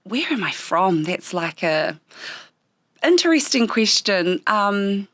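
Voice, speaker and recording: A woman's voice: mid-range voice, sing-song, a bit bright, no echo. Woman, New Zealand accent, first language Japanese, interview guest, reflective, frequent ums, dry close sound. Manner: thoughtful, pausing often with ums.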